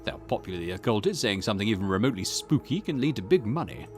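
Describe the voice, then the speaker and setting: A man's voice: on the low side, sing-song, fast, faint music behind. A man voicing a conceited, irritable historian and occult researcher in a visual novel, pompous, snappish and condescending. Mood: lecturing, self-important. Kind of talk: acted visual novel dialogue.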